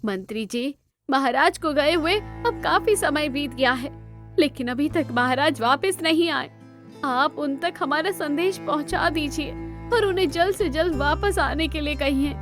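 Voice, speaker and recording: Woman acting a high-pitched, proud, bitter queen. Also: unhurried, music in the background. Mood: worried, commanding. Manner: regal, sarcastic, dramatic. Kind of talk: cartoon storybook dialogue.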